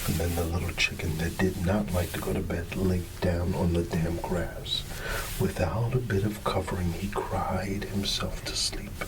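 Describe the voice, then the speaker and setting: A man reading a bedtime story in ASMR style, hushed and drawn out, sometimes dropping into a whisper. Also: deep voice. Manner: hushed, unhurried, drawn-out words with playful asides. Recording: steady background ambience noise. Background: ambient background sound.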